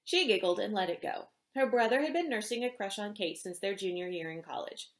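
Expressive woman reading aloud, roomy sound. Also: mid-range voice, brisk. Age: middle-aged. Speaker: author reading from own novel. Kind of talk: expressive read-aloud narration of a romance novel. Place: indoor room with bookshelves. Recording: roomy indoor echo.

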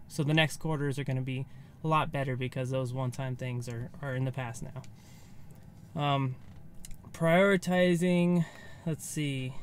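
An American man in his thirties giving a casual, off-the-cuff recap to camera from inside a car, loosely phrased as if thinking aloud. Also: medium pitch. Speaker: vlogger. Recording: faint background ambient noise.

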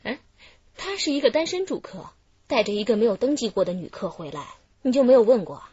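Brisk female detective. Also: on the high side, big pitch swings, mellow, husky, no room sound. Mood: probing. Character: probing, businesslike female police detective questioning witness. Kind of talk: radio drama acting. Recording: dull lo-fi radio drama recording.

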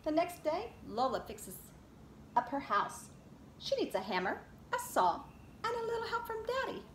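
A middle-aged woman speaks to small children as their teacher, in an expressive storytime style. Her voice sits fairly high and swings widely in pitch. It is recorded from a short distance in an echoey space. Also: moderate pace. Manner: expressive, addressing young children. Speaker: preschool teacher reading aloud. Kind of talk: children's picture book read-aloud. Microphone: camera a short distance away. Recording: roomy echo, clear background noise.

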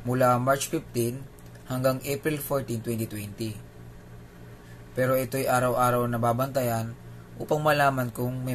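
A man's voice: medium pitch, a little hiss or hum. A man with a Filipino accent hosting a video, reading a scripted news explainer. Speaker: video host.

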